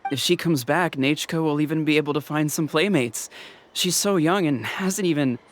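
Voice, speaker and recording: A man's voice: fairly high pitch, normal speed, a little hiss or hum, lightly acted. A man playing a flustered, worried villager in a video game, with a fretful, hesitant delivery.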